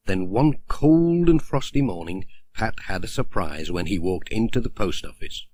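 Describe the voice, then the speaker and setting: A man's voice: fairly low pitch, animated, moderate pace, warm tone. A man narrating a children's story from a script, as an audiobook reader.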